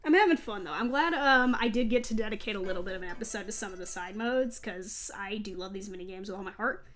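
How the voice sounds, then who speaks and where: A woman's voice: medium pitch, fast, fairly bright tone. A woman hosting a let's play, giving casual gameplay commentary in a chatty, self-deprecating way. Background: video game music.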